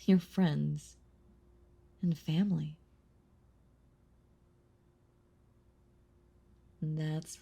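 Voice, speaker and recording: An ancient female vampire, played by a woman, speaks in a slow, husky murmur with a creaky edge. She is possessive and condescending, teasing the listener with quiet menace in an intimate audio roleplay, often slipping into whispers. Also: lowish voice, exaggerated voice. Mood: jealous, threatening.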